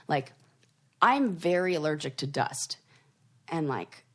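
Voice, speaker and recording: Chatty lower-voiced woman co-host. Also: slow. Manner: chatty, animated storytelling with many fillers. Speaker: podcast co-host. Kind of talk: casual chat.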